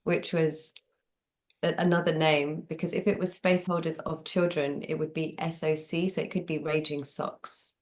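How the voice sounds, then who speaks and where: Playful, lowish-voiced woman. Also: flat delivery, normal speed. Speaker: guest, training facilitator. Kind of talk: casual conversation.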